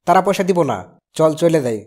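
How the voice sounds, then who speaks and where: A man's voice: a little high, lively intonation, a little fast, a little mellow, close to an everyday voice. A man voicing a reluctant, exasperated young uncle in a cartoon, grumbling and reasoning, with a Bangladeshi accent.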